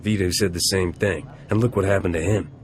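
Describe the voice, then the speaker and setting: An actor playing a gruff, wisecracking space smuggler captain in a video game, terse and wry. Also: male voice, low voice, steady tone, a little fast, slight background noise, natural voice. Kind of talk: video game dialogue acting.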